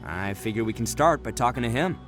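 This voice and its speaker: An actor playing a brash but warm-hearted young oni gang leader in a video game, speaking emphatically with slangy, dramatic swings. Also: male voice, medium pitch, animated, brisk, soft background music, natural voice. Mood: casual, upbeat. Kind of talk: English video game dub acting.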